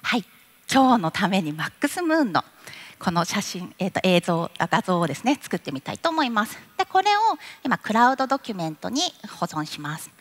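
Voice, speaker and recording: A woman's voice: medium pitch, big pitch swings, a little fast. A young woman giving a live product demo on a conference stage, politely guiding the audience step by step. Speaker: product demonstrator. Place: large conference hall stage.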